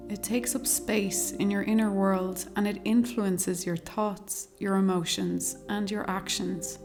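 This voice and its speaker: A woman leading a guided meditation, speaking slowly and calmly in a soothing, measured way with long pauses. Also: medium pitch. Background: meditation music with singing bowls.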